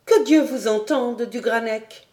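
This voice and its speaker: A woman's voice: on the high side, takes it slowly, darkish tone, voice pushed up. A woman voicing an anxious young noblewoman who pleads urgently, in a storybook reading. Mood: pleading. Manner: urgent, imploring.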